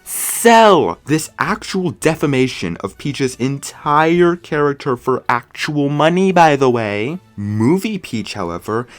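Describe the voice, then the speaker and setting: A man's voice: fairly high pitch, big pitch swings, slow, hardly any pauses, soft background music. A man narrating a scripted, opinionated video review in an emphatic, sarcastic, ranting manner.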